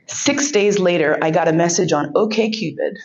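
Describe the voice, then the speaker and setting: A woman's voice: fairly low pitch, normal speed, dull audio, heavy background noise. A woman giving a keynote speech, telling a frank, profane story and laughing often.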